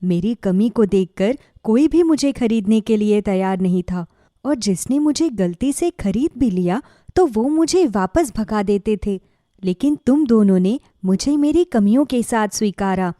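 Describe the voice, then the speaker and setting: Magical cartoon cow, played by a woman: gentle, grateful female, solemn and reassuring, pitched down, dry close sound. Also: no echo, lightly acted.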